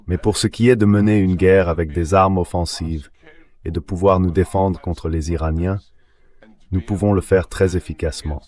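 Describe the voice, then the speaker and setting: A man's voice: sits low, fairly even intonation, moderate pace, warm tone. A man's synthetic AI dubbing voice giving analytical military commentary as an interview guest.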